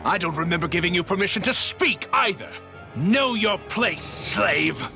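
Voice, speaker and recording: Game villain: scornful aristocratic traitor, theatrical and haughty, highish gravelly-edged male voice with animated swoops. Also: a little slow, soft background music, exaggerated voice. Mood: haughty contempt. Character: treacherous, contemptuous noble male advisor sneering at slaves. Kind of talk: dramatic video game acting.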